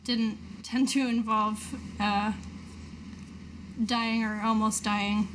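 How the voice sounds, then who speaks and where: A woman's voice: mid-range voice, flat delivery, slow pace, noisy background, lightly acted. A woman playing a shaken, dutiful female adventurer new to danger in a tabletop game, hesitant and understated. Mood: doubtful.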